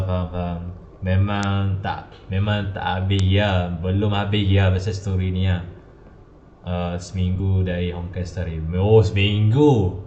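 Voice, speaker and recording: Casting: male gaming streamer, lowish voice, casual muttered chat, dull roomy sound. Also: dark tone, a little hiss or hum. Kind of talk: casual game livestream chat.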